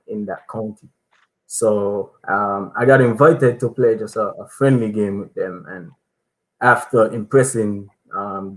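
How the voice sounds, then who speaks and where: Soft-spoken young man from Saint Kitts. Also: fairly low pitch, poor audio. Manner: relaxed, many um fillers, soft-spoken. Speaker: footballer, interview guest. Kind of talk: casual interview answers.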